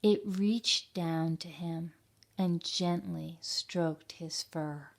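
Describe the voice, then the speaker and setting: A woman reading aloud and talking simply and gently to young children as a storytime leader. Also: on the low side, steady tone, drawn-out words, vocal fry. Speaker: children's library storytime reader.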